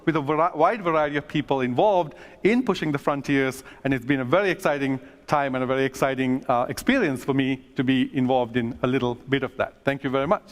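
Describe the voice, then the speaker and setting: A man who grew up in Sri Lanka, an astronomer speaking earnestly at a conference, pausing with fillers and restarts in the Q&A. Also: mid-range voice. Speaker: astronomer giving a talk. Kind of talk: stage talk then casual Q&A. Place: conference stage. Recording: long hall reverb.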